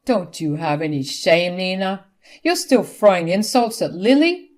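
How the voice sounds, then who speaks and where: A woman voicing an angry, principled older husband who speaks sternly and forcefully in a dramatic story. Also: lowish voice, expressive, unhurried, faint music behind. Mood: stern anger. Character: angry, morally firm older husband divorcing his wife.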